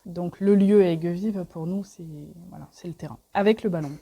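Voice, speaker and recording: A woman's voice: on the low side, fairly even intonation, brisk, dark tone, slightly rough. A woman in her thirties from the Paris region, chatting enthusiastically in an interview about her village and her family's garage. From Paris region, France. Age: 30s. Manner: enthusiastic, chatty, frequent fillers. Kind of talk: casual interview answers.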